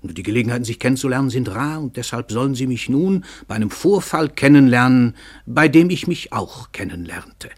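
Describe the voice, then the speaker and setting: An actor playing a brash, dissolute, world-famous novelist who addresses radio play listeners in a theatrical, ironic way with hesitant asides. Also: male voice, medium pitch, lively intonation, fairly quick, husky. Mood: wry, musing. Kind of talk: radio play monologue, narrating to the audience.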